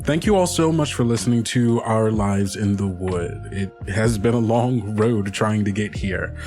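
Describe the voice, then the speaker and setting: A man with a low voice speaks as game master and host of a story podcast. His delivery is that of a narrator addressing listeners. Music plays clearly behind him. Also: unhurried, darkish tone. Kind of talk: actual-play narration and host outro. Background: music under the voice.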